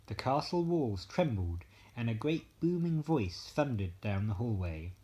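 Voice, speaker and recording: A man narrating a bedtime story as an expressive storyteller. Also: medium pitch, measured pace.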